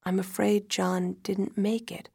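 A woman playing a calm hospital doctor, measured and grave, in dramatic storytelling. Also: mid-range voice, creaky, slightly rough, no room sound, studio quality, heavily acted. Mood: grave, gentle. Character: calm female hospital doctor breaking bad news. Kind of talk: dramatic audiobook-style storytelling.

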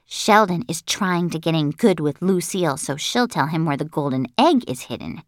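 A woman's voice: low-pitched, animated, normal speed, no room sound, clean studio sound. A woman voicing a stern, suspicious little girl who scolds indignantly, in a children's audiobook.

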